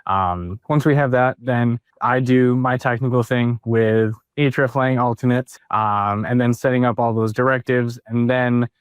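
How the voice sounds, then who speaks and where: A man's voice: medium pitch, steady tone, clean tone, no room sound. A young man speaking as an SEO specialist guest, chatting casually with many ums and likes. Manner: lots of ums and likes, quick bursts. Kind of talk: casual interview chat.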